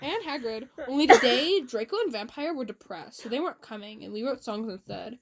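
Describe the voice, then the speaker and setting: A woman's voice: on the high side, animated, brisk. A woman doing a mock-dramatic fan fiction reading with casual asides, giggly and theatrical.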